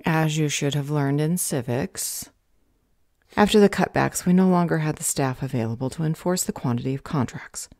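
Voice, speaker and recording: Lady playing a unhelpful gov office clerk in an audio roleplay, dark relaxed voice, officious and talks down to you. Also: deep voice, moderate pace, no room sound, clean studio sound. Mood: lecturing, condescending. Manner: bureaucratic, unhelpful, condescending. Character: jaded, officious female government customer service clerk. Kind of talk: audio roleplay drama acting.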